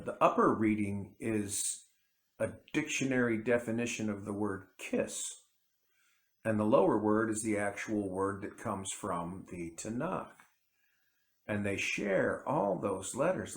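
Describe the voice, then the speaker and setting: Slow, rambling man in an echoey room. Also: lowish voice, creaky. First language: English. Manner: rambling, self-interrupting, conversational. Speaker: independent bible teacher. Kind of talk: casual scripture commentary to camera.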